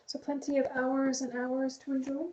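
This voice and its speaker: A woman chatting casually to her live stream viewers, rambling in a relaxed way and reading the chat aloud. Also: sits high, moderate pace, dull audio, background noise.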